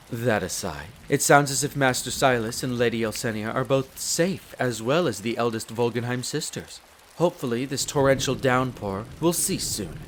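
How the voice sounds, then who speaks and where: A man's voice: mid-range voice, natural voice, poor audio. Man playing a formal wolf butler in rain. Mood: courteous, reassuring. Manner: polite, formal, deferential. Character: devoted, formal male wolf butler and head servant. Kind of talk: scripted roleplay audio drama. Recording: rain noise over the voice. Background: steady rain.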